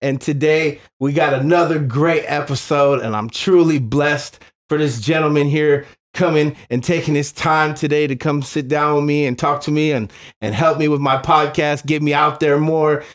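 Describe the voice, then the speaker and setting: A man's voice: fairly high pitch, steady tone, normal speed, few pauses. A man hosting a podcast interview, talking with enthusiasm and stumbling over his words with lots of fillers. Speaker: podcast host.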